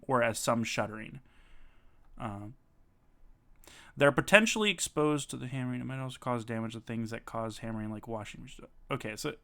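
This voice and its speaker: Animated, bright-voiced man hosting a stream. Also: medium pitch. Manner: animated, laughs often, reads aloud haltingly. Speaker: streamer and host. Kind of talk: casual chat with friends on stream.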